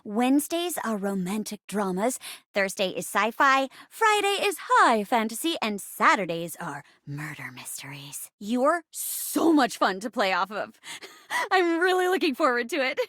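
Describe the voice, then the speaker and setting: High, animated, flirty theatre chair. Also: female voice, unhurried, dry recording, clean studio sound. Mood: encouraging, upbeat. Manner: bubbly, theatrical, stammering when flustered. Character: living chair, eager theatre actress, flustered and flirty. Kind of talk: video game character voice acting.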